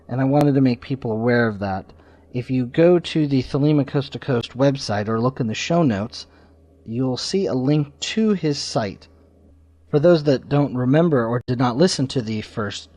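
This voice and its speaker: A man hosting a podcast, giving informal announcements and reviews in a halting way, with pauses mid-sentence. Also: mid-range voice, a little mellow, dry recording. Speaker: podcast host.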